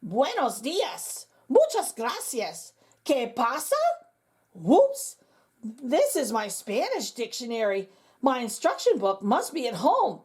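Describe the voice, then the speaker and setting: A woman's voice: fairly high pitch, wildly swooping pitch, takes it slowly, darkish tone, husky, full character voice. A woman voicing a clumsy, bumbling male stage magician in a showy, flustered manner.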